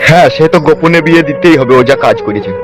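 A man's voice: fairly high pitch, lively intonation, very fast, natural voice. A man voicing a bitter, ashamed village father in a comedy cartoon, coarse and scolding. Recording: music behind the voice.

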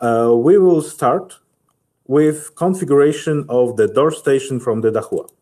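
A man in his thirties with a Polish accent, giving a halting, methodical software tutorial as a technical specialist. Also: medium pitch. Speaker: technical specialist presenting a webinar.

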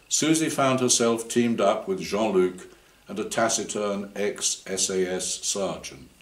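An older man narrates a short story in a low voice. He reads slowly and deliberately, with noticeable room echo around him. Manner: measured, deliberate. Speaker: author reading his short story. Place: indoor room before a banner. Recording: roomy indoor echo.

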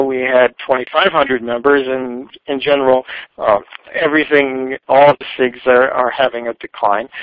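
An older man talks informally as a webinar speaker. He goes haltingly, digressing as he goes, at a middling pitch. The audio is dry but dull and lo-fi. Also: dry recording. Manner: halting, digressive, trailing asides. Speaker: speaker at a professional society webinar.

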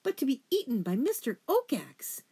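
A woman's voice: on the low side, lively intonation, moderate pace, darkish tone. A self-important mouse patriarch from a children's storybook, acted out by a woman narrator. He speaks formally and sternly, with a pompous weight and ready indignation. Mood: indignant, agitated.

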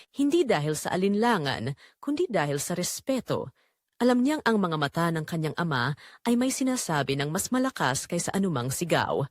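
Woman narrating drama, very expressive pitch. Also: no echo, clean studio sound. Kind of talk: dramatic scripted story narration.

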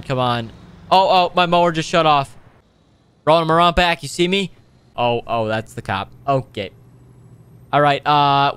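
Young male gamer, clean smooth voice on the high side, animated slangy roleplay commentary. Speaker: gaming video host. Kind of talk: excited casual roleplay gaming commentary.

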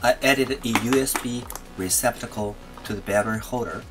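A man's voice: mid-range voice, normal speed, poor audio. Man narrating a build over music. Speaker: maker presenting an electronics build. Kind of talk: scripted tutorial narration. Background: background music.

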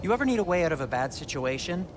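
A man's voice: on the high side, sing-song, racing. A man playing a fretful, protective dwarf craftsman in a fantasy video game. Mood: urgent concern. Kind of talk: video game drama acting. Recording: clear background ambient noise.

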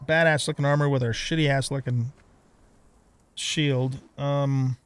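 A man's voice: mid-range voice, fairly even intonation, measured pace, clean tone. A middle-aged man giving casual gameplay commentary, rambling and thinking aloud as he hosts a let's play.

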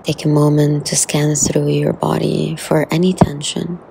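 Woman meditation guide, very gravelly fry, low and even pitch, soft breathy endings, soothing. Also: slight background noise. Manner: soothing, unhurried, with long pauses.

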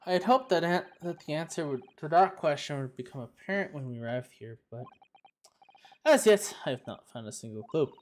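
A man's voice: fairly high pitch, lively intonation, a little fast, natural voice. A man reading an earnest young lawyer.

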